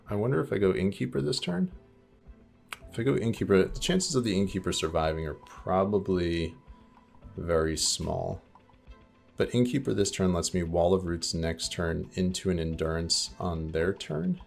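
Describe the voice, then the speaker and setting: A man with a very deep voice full of fry streams a card game with music behind him. He sounds a little scratchy, as if his voice is starting to go. His commentary is casual and deliberate, weighing options out loud. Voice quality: slightly scratchy. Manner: deliberate, reasoning through options aloud. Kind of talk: casual live gameplay commentary, thinking aloud.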